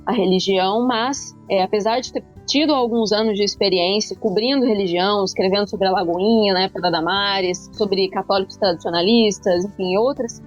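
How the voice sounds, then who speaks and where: A woman journalist introducing herself on a panel in a measured, self-correcting way. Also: medium pitch, highs cut off, soft background music. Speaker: journalist guest. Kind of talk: casual panel conversation.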